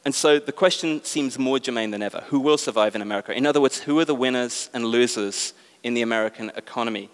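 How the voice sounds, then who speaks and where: A man's voice: mid-range voice, fast talker, clear, resonant tone. British man moderating in a reverberant hall. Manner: articulate lecturing delivery with frequent ums. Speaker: debate moderator. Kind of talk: opening remarks at a public debate. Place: large exhibition hall with an audience. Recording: long reverb of a large hall.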